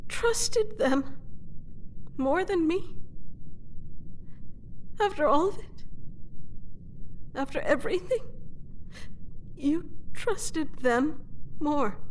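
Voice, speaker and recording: A proud captive warrior queen of a fantasy audio drama, acted by a woman, speaks in a high voice at a slow, halting pace. She is reckless and wounded, hiding love beneath theatrical emotion. Some background noise sits behind her. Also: animated, full character voice. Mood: hurt, pleading. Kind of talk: dramatic fantasy audio roleplay addressed to the listener.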